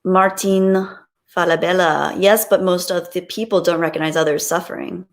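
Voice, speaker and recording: Woman streamer just chatting, reading comments out loud, gushing thanks, kinda playful. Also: fairly low pitch, fairly even intonation, moderate pace. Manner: gushing, appreciative. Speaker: livestream host. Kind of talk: casual chat, reading out viewer comments.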